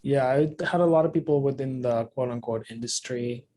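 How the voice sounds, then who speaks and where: A man in his thirties speaks in a mid-range voice as a guest on an interview. His talk is relaxed and chatty, with plenty of fillers and little asides. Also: mid-range voice, normal speed. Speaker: actor and co-writer.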